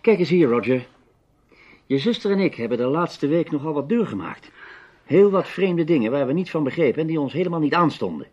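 An actor playing a serious, probing male investigator, measured and insistent, in an old Dutch radio play with a dull sound. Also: on the high side, lively intonation, a little mellow. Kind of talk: radio drama acting in Dutch. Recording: dull, band-limited old radio recording.

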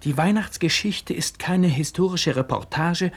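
An actor playing an earnest, critical young son with a husky voice, lecturing insistently and articulately in a radio play. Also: male voice, fairly high pitch, measured pace. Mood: earnest arguing. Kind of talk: 1960s German radio play acting.

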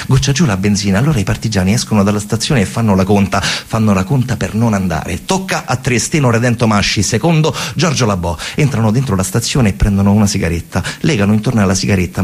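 A male stage storyteller delivers a dramatic monologue. His voice is gravelly and husky, fairly low, and he leans emphatically on repeated phrases. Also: darkish tone. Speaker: narrative theatre actor.

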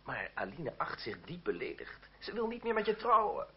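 A man's voice: high voice, wildly swooping pitch, normal speed. An actor playing a worldly, self-assured nobleman, urbane and reproachful, in a Dutch radio play. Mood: placating. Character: worldly nobleman, exasperated but loyal friend, self-assured schemer. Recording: muffled, faintly noisy old radio recording.

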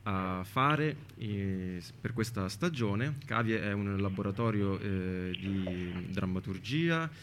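A man's voice: sits low, lively intonation, slow, hardly any pauses, fairly bright tone, slight background noise. A man presenting and interviewing live as a radio host.

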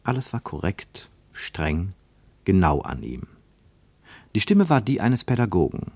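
A man narrating a literary radio drama as a reflective observer, deliberate and given to rhetorical questions. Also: deep voice, big pitch swings, a little mellow, dry recording, exaggerated voice. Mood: measured, observant. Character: male narrator of a literary travel report, probing and reflective.

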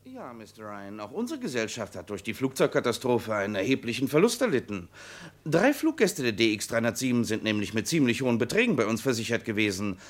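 A man's voice: mid-range voice, sing-song, quick pace. An actor playing a talkative, slightly flustered insurance salesman in a vintage radio crime drama. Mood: businesslike.

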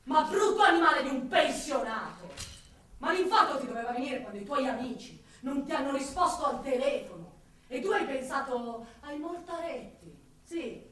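A man's voice: extremely high pitch, animated, moderate pace, bright, forceful tone, exaggerated voice. A woman playing an exhausted, sarcastic and hot-tempered male police inspector in a theatrical radio drama monologue. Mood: bitter sarcasm. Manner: biting, sarcastic, volatile, theatrical. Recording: echoey room, distant mic, faint noise.

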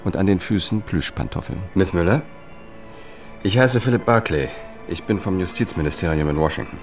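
A man's voice: low voice, moderate pace, faint music behind, close to an everyday voice. An actor playing a wary, courteous government investigator who narrates his own story in a radio drama, matter-of-fact and polite. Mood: polite, probing. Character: wary male government lawyer turned amateur investigator, courteous. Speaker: first-person narrator and lead.

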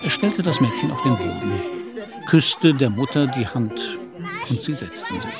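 A man's voice: medium pitch, very animated, normal speed, gravelly. Male narrator over music. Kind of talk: scripted literary radio play narration.

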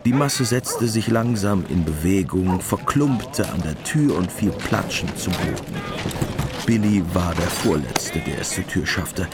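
A man's voice: deep voice, lively intonation, a little slow. A man narrating a scripted radio drama. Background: music.

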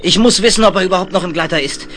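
A man's voice: high voice, racing, fairly bright tone, slight background noise. An actor playing a fatherly, exasperated space commander who barks orders with anxious hesitations in a 1970s radio drama. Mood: alarmed, exasperated. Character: older, urgent, fatherly space base commander, exasperated and worried.

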